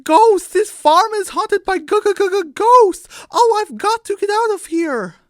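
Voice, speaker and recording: A woman's voice: very high-pitched, expressive, unhurried, no echo, clean studio sound, voice pushed up. A woman voicing a cowardly, panicky, stuttering farm animal in a children's radio play. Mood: terrified. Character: frantic, cowardly, stammering cartoon farm animal scared of ghosts.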